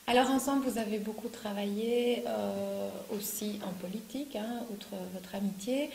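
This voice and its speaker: A woman's voice: medium pitch, moderate pace, vocal fry, a little mellow. A woman interviewing a local candidate, asking informal, friendly questions with frequent fillers. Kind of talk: campaign interview. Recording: echoey room with faint hiss.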